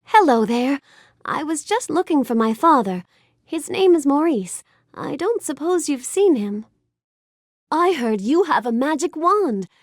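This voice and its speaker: A high-voiced, sweet young storybook heroine for a video game. Her lines swing melodically with bookish curiosity and polite warmth, gentle and full of wonder, in a close, dry studio sound. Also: a little slow, no echo. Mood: warm, friendly, then curious, intrigued. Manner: gentle, wonder-filled, courteous, expressive delivery. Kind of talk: animated game character dialogue.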